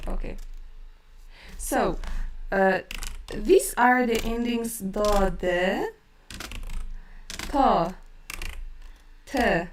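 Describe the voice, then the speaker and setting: A woman with a Kazakh accent teaching her language in a live stream, speaking slowly with many hesitant fillers while she reads chat questions. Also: mid-range voice, echoey room, recorded from a distance, a little hiss or hum.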